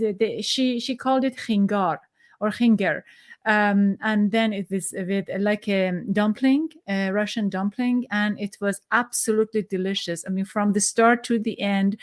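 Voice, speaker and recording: A woman podcast host with a non-native English accent, interviewing in a halting, conversational way as she searches for words. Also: mid-range voice.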